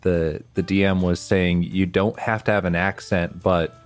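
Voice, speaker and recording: Very deep-voiced easygoing co-host. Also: little pitch movement, unhurried, soft background music. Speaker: podcast co-host. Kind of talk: casual roleplay podcast discussion.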